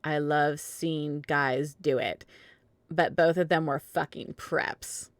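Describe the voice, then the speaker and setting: A woman's voice: sits low, steady tone, slow, no echo, studio quality. A woman narrating a fan fiction story in a dramatic reading, keeping a straight face through absurd text.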